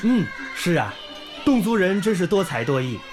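An actor playing a young volunteer teacher in a village who speaks warmly and encouragingly. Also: male voice, high voice, very animated, moderate pace. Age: young adult. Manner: warm, encouraging tone. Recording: background music under the scene.

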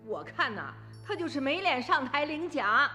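An actress playing a sharp-tongued, headstrong middle-aged farmer's wife in a radio drama, feisty and challenging. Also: female voice, high-pitched, lively intonation, moderate pace, long reverb tail, soft background music. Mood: suspicious, needling.

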